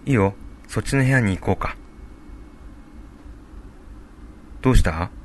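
A man playing a calm, supportive young father and husband in a radio drama, speaking in a low-key, casual way. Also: fast talker, a little hiss or hum, lightly acted. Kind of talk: amateur radio drama dialogue.

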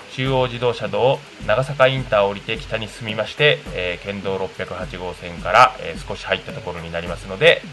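A man presenting a food report on radio, speaking politely and in measured phrases from semi-prepared notes. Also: on the low side, bright, forceful tone.

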